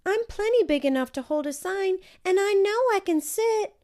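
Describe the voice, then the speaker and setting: A woman's voice: very high voice, expressive, normal speed, a little mellow, pitched above the performer's own voice. A woman voicing an eager, earnest little girl in a children's storybook, childlike and emphatic. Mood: eager, determined.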